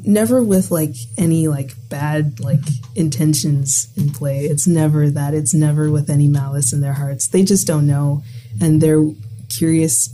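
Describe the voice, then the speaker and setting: A woman's voice: low voice, warm tone, rough recording. A young woman from the Bahamas, a college student guest, talking casually and filling her speech with "like". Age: young adult. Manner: chatty, heavy use of "like". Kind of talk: casual conversation.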